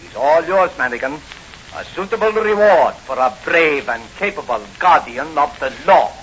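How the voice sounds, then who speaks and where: Smug gangster, played by a man, oily mock courtesy, lively intonation, vintage radio crime serial with audible noise. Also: a little high, moderate pace, close to an everyday voice. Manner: mock-courteous, sly, self-satisfied. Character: smooth smug gangster cafe owner, lying to police. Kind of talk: 1940s radio drama acting. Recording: muffled, noisy old-time radio recording.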